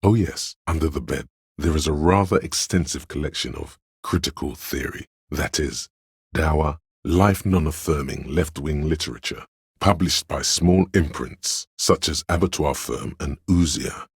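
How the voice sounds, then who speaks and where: Pompous, cavernously deep gravelly inner voice. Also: male voice, clean studio sound, heavily acted. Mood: dry, literary. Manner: pompous, theatrical, deliberate diction. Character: cerebral, pretentious inner voice of artistic imagination. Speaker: game voice actor. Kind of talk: dramatic scripted game narration.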